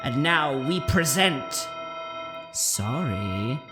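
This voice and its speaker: Slow, dramatic male announcer over music. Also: mid-range voice, big pitch swings. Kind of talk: dramatic scripted introduction. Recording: music behind the narration.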